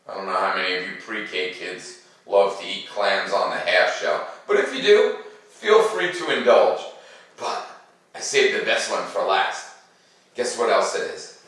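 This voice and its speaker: A man talking to children like a school teacher, playful and animated, explaining things in simple words. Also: medium pitch, recorded from a distance. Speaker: school teacher hosting a read-aloud for children. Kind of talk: chatty talk to children, then storybook read-aloud. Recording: very echoey room, away from the mic.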